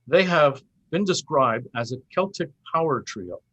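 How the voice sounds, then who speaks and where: Slightly husky male event host, formal delivery. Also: fairly high pitch, animated, unhurried, fairly bright tone, lo-fi sound. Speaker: host giving closing thanks at a festival event. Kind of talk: scripted closing remarks.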